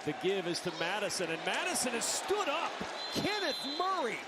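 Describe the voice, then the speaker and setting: High male play-by-play announcer, very noisy. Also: big pitch swings, normal speed. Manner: punchy, clipped, dramatic calls. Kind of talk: live broadcast play-by-play. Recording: game broadcast with loud stadium noise.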